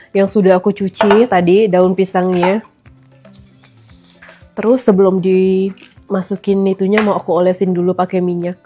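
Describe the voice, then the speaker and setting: Woman, 30s, stuffy nasal cold voice, mellow, chatty cooking vlogger. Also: mid-range voice. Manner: chatty, addresses viewers often. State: recovering from flu. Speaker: vlogger and mother. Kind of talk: casual cooking vlog.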